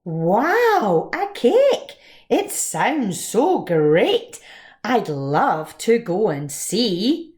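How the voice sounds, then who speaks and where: A woman's voice: medium pitch, very animated, very slow, a little mellow. A woman voicing an eager, wheedling admirer in a children's storybook, gushing and coaxing. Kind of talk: dramatised children's storybook reading.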